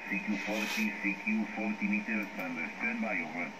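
A man on shortwave radio, spelling call signs phonetically in a halting, repetitive way. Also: lowish voice, fairly even intonation. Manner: halting, repetitive delivery full of hesitations. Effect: shortwave radio transmission. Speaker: amateur radio operator. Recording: very noisy shortwave radio reception.

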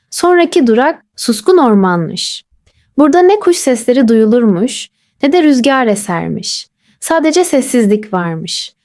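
A woman narrating a children's bedtime story in a soft, gentle, lilting way. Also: fairly high pitch, no echo, clean studio sound.

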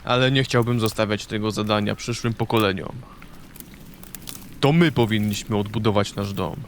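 An actor playing a weary but resolute, homesick male draenei warrior with a gravelly voice in a fantasy audio drama. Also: fairly low pitch, moderate pace, slight background noise, natural voice.

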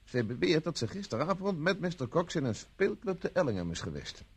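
A man acting in a radio play as an urbane gentleman witness, speaking in a polite, wry way. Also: a little high, sing-song, a little fast, breathy edge, exaggerated voice. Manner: polite, wry, leisurely phrasing. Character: urbane, wry gentleman friend giving a statement.

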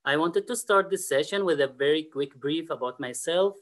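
A man whose first language is Arabic, an admissions officer giving a webinar presentation over a computer mic, often pausing on fillers. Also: a little high. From Middle East and North Africa. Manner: hesitant, frequent uh fillers and restarts.

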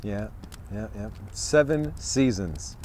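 A man's voice: fairly low pitch, expressive, takes it slowly, a little mellow, slight background noise. A man in his thirties co-hosting a comedy sports show outdoors, stammering sheepishly in sketches and bouncing into presenter patter. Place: outdoors in snow beside a stadium.

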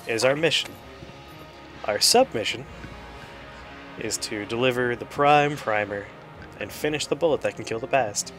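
Male gaming host, average pitch, casual and playful, self-mocking delivery over prominent music. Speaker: show host, gamer. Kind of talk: casual let's-play commentary. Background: music and game gunfire.

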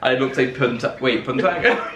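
A young man in his twenties, a guest friend bantering in a kitchen and teasing with a deadpan edge. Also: medium pitch, moderate pace, echoey room, background noise. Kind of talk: joking banter. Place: home kitchen, indoors. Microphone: camera mic from a few feet away.

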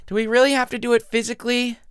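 Man acting a whiny, high-voiced heartbroken student. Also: level tone, quick pace, a bit bright, smooth, no echo, studio quality. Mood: sulky. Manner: whiny, melodramatic. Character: dramatic heartbroken American male student, whiny and sarcastic.

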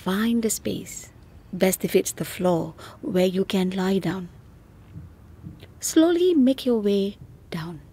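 Very slow, breathy woman guiding relaxation. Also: medium pitch, lively intonation. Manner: soft, breathy, slipping into near-whispers, with long pauses. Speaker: meditation guide. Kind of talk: guided relaxation narration.

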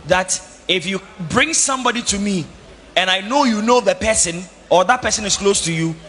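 A man with a Ghanaian accent interpreting a sermon into English in a steady, formal way, on a handheld mic in a church hall. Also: high-pitched, moderate pace, husky. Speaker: interpreter for the pastor. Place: large indoor church hall. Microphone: handheld microphone. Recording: echoey church hall, faint noise.

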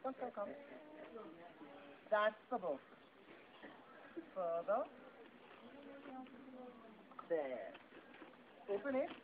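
A woman's voice: medium pitch, very animated, very slow, long pauses. A woman performing an interactive story for children in a theatrical, playful way, chatting and putting questions to them. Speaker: puppet show performer. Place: live book festival puppet show. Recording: tinny lo-fi phone-like, very noisy event.

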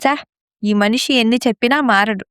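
A woman playing an arrogant, scheming young daughter-in-law in a moral story drama, sharp-tongued and complaining. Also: fairly high pitch, normal speed, smooth, no echo, clean studio sound, natural voice. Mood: irritated, haughty.

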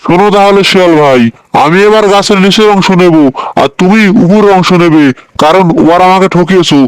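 Guy doing a dim but honest cartoon croc, slightly high clean voice, earnest, simple folk tale talk. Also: brisk, smooth, no echo, natural voice, low-quality recording. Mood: aggrieved. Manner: plain-spoken, naive, earnest. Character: gullible simple-hearted male crocodile, aggrieved at being cheated.

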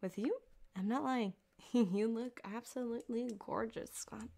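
A woman voicing a flirtatious, sweetly menacing yandere cyberpunk doctor in an ASMR roleplay, soft-spoken and halting, often slipping into a whisper, close on a binaural mic. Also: a little high, vocal fry. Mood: flirty, admiring. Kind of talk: ASMR roleplay addressed to the listener. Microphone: close binaural microphone.

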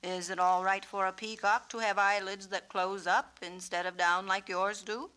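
A woman voicing a kindly, wise male peacock in a storybook, patient and measured as he teaches. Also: mid-range voice, little pitch movement, bright, forceful tone, smooth, lightly acted. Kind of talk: storybook character reading.

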